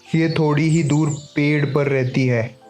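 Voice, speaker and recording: Man as an old cartoon owl, fairly high male pitch, monotone, slow, measured. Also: soft background music, close to an everyday voice. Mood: questioning, measured. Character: elderly owl, neighbourhood elder, questioning strangers. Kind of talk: Hindi animated moral story dialogue.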